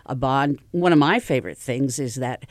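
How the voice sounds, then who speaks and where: A woman's voice: a bass voice, moderate pace, no echo, studio quality. A middle-aged woman, a community theater actor, chatting as a guest on a radio show and laughing often. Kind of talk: casual radio interview.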